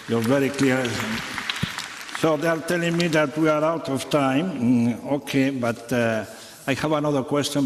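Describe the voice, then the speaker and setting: A man who speaks English as a second language, moderating a conference panel in a halting, informal way. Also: mid-range voice. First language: not English. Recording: echoey conference hall, long reverb.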